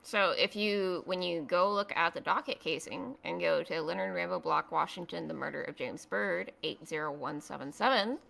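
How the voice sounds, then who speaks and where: Low, bright, gravelly-edged woman. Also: normal speed, hardly any pauses, poor audio. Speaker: witness in a roleplay courtroom trial. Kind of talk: improvised roleplay testimony.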